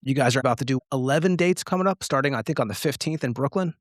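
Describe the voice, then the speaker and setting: A man's voice: medium pitch, fast talker, dry recording, clean studio sound. A man hosting an interview in an informal, conversational way, chatting casually with his guest. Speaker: podcast host, interviewer.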